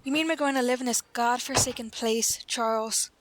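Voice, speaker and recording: Unhappy wife female actor, 1940s radio manner: higher voice, complaining but submissive, slight noise. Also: fairly even intonation, normal speed, lightly acted. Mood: dismayed. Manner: complaining, yielding. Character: unhappy wife dragged to a remote home. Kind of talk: 1940s radio drama acting.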